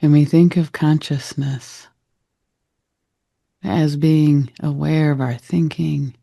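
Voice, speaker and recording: A man leads a guided meditation in a very dark, mellow voice with a gravelly rasp. He speaks slowly and gently, setting out short reflective phrases with care. The tone is soft and husky, sometimes sinking near a whisper. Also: fairly high pitch, steady tone, no echo, clean studio sound.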